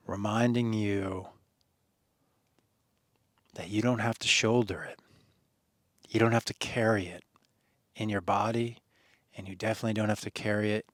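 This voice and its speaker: A man leading a guided meditation in a soothing, earnest manner, phrasing deliberately with pauses and gentle encouragement. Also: fairly low pitch, no echo, studio quality.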